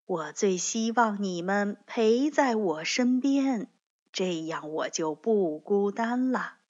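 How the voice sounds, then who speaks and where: A woman voicing a kindly, forgiving old grandmother bear in a children's story, speaking gently and softly. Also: medium pitch, animated, lo-fi sound. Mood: gentle, fond. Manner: gentle, slow, soft-spoken.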